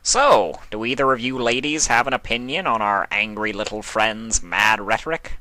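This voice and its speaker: A man's voice: fairly low pitch, moderate pace, sharp tone, no room sound. A man voicing a playful, mocking male god of chaos in a cartoon fan fiction reading, teasing and theatrical. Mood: mock-curious.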